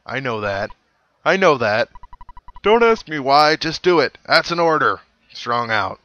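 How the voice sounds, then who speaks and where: A man voicing a blustering, bossy small-town police captain from a video game, gruff and commanding as he reads the dialogue aloud. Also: mid-range voice, very animated, a little slow, bright, forceful tone, lo-fi sound. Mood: impatient, barking.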